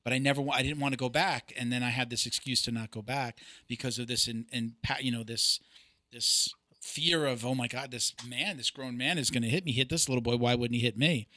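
Chatty man with a bright, dry voice. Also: mid-range voice, steady tone, a little fast, no echo. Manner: rambling, self-reflective storytelling. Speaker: podcast host. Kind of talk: casual chat.

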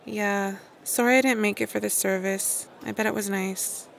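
An actress as an awkward, apologetic young woman reunited with old friends in an audio drama. Her voice is creaky and mid-pitched, with hesitant, everyday phrasing. There is noticeable background noise around her. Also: fairly even intonation, moderate pace, lightly acted. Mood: uneasy, guarded. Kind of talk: naturalistic audio drama acting.

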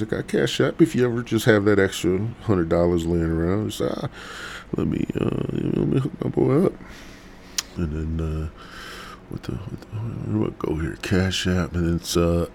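A man's voice: low-pitched, a little mellow, slight background noise. A congested man, hosting a rambling, profane podcast monologue. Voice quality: raspy. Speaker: podcast host.

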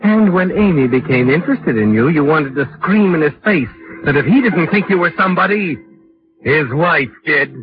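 A man's voice: a little high, animated, normal speed, lightly acted. Taunting radio mystery narrator voiced by a man, muffled. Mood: taunting, ominous. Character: taunting, ominous all-knowing male storyteller. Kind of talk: dramatic second-person 1940s radio narration. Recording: muffled vintage radio broadcast with music.

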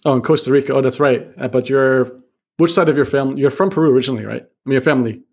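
A man hosting an interview podcast, reading a measured intro and then asking questions in a friendly, chatty way. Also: medium pitch, vocal fry, a little mellow, breathy edge. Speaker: podcast host. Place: Kuala Lumpur.

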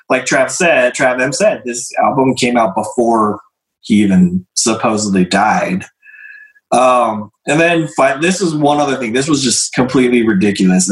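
A man presenting slides in a casual group discussion, losing his voice, clearing his throat and filling gaps with hesitant "um"s. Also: medium pitch, reverberant, low-quality recording. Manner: hesitant, many fillers.

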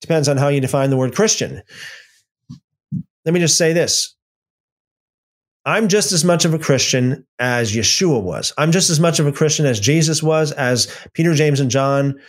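A man hosting a livestream Bible study, reading out viewer comments and answering them with many restarts and fillers. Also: mid-range voice. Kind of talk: casual livestream Bible talk.

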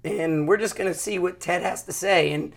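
A man hosting a podcast and answering questions as a rifle instructor, hoarse from losing his voice, talking in quick bursts. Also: high voice. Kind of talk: casual interview answers.

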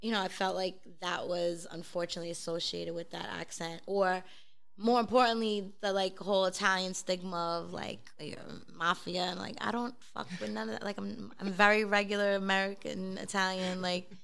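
A woman from South Brooklyn with a strong Brooklyn accent, a podcast guest in casual chat, animated and streetwise, swearing freely. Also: medium pitch, normal speed, gravelly, clean tone. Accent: Brooklyn New York. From South Brooklyn, New York.

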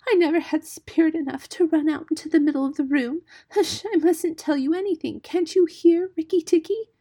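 Woman voicing a high, whimpering little muskrat. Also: unhurried, dark tone. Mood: sobbing, fearful. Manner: whimpering, pleading, sobbing. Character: timid, weepy, broken-hearted little male muskrat.

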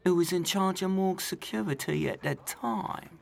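A man's voice: high voice, normal speed, gravelly, pitched above the performer's own voice. A man voicing a stuffy, indignant old former morgue guard in an audio drama, scolding and pompous. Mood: smug, dismissive.

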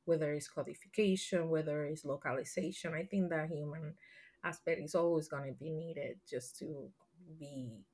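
A woman's voice: sits low, steady tone, normal speed, breathy edge. Puerto Rican woman guest, thoughtful restarts. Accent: Puerto Rican Spanish. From Puerto Rico. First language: Spanish. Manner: thoughtful, with many restarts and soft laughs. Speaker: podcast guest. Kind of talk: casual interview answers.

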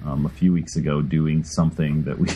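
A man's voice: rumbling low, fairly even intonation, brisk, mellow, muffled recording, slight background noise. A man co-hosting a podcast, rambling, interrupting himself, and laughing between words. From Chicago area. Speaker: podcast co-host. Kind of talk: casual podcast chat.

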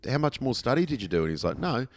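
A man's voice: mid-range voice, very animated, fast talker. A man hosting a podcast, bantering bluntly and swearing while he teases his guest. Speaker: podcast host. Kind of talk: casual chat.

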